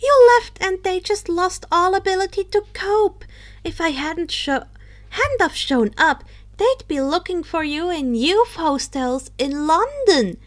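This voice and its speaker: A woman plays an earnest young witch girl with a high, bright-eyed urgency. She speaks emphatically and indignantly, exclaiming as in a storybook reading. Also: exaggerated voice.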